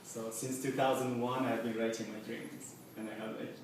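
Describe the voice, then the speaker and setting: A man's voice: mid-range voice, little pitch movement, normal speed. A young man whose first language is Farsi, an artist talking about his paintings off the cuff, hesitant, with many filler words and restarts, in an exhibition space. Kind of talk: unscripted gallery talk. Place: art exhibition space indoors. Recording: very echoey room, voice from far off.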